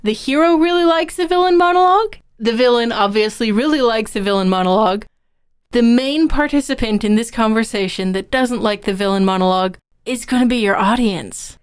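Woman podcaster with a very clear, crisp voice. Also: fairly high pitch, fairly quick. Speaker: podcast co-host. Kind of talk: conversational podcast discussion of writing craft.

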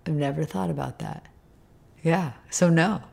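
Raspy woman, deep voice, loose rambling talk, scarred throat. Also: a little slow, a little mellow. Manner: rambling, lots of fillers. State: throat scar tissue, tires easily. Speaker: interview guest, retreat leader. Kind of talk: casual conversation.

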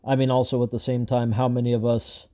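A man with a very dark, mellow voice talks straight to his viewers in an off-the-cuff update. He rambles with frustration, stacking fillers and false starts, and his voice is slightly hoarse. The pitch of his voice sits in a middle range for a man. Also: little pitch movement, fast talker. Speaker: show host giving an update. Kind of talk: unscripted personal update to viewers.

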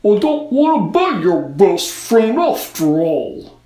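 A woman's voice: mid-range voice, big pitch swings, takes it slowly, warm tone, reverberant. Slurred, hearty talking snowman. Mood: indignant. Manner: hearty, bossy. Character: hearty, slurring talking snowman, mischievous false best friend.

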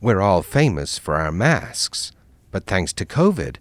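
A cultured villain doctor with a very deep, slow, lilting voice, played by a man. He speaks, soft and measured, and the recording is dry and close. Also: big pitch swings, no room sound. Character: suave cannibal doctor villain, calm group leader.

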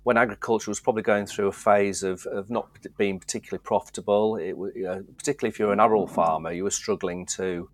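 Low-voiced man, rambling. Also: fairly even intonation, quick pace, few pauses. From Yorkshire, England. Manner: easygoing, rambling, frequent restarts. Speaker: guest, distillery co-founder. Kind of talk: casual interview chat.

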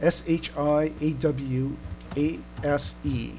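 A man co-hosting a casual fan podcast, chatting haltingly and often repeating his words. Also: on the high side, fairly even intonation, a little mellow, faint music behind. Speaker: podcast co-host.

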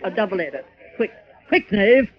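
An actress playing a tipsy, haughty barfly who declaims like a stage tragedienne in an old radio drama. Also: female voice, mid-range voice, sing-song, normal speed, heavy background noise, natural voice. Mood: tipsy, grandiose. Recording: dull old radio recording, loud crowd behind.

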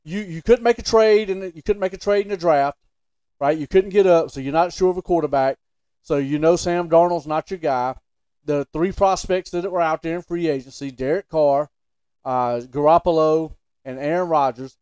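A man with a slightly high voice co-hosts a casual sports podcast. He is opinionated and emphatic, putting his views bluntly in back-and-forth debate. The sound is dry, with no room echo. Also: normal speed, darkish tone, clear, resonant tone.

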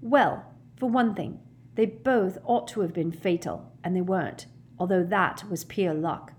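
A woman voicing a brainy, earnest teenage girl who reasons quietly and precisely in a storybook. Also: on the low side, measured pace, natural voice. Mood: brooding.

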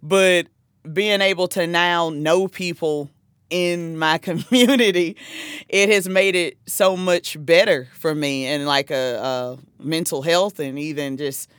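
A man's voice: a little high, fairly even intonation, slow, a bit bright, clean tone, no echo, studio quality. A man from South Carolina with a Southern US accent, hosting a casual, agreeable chat and often chuckling. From South Carolina, United States. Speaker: podcast host.

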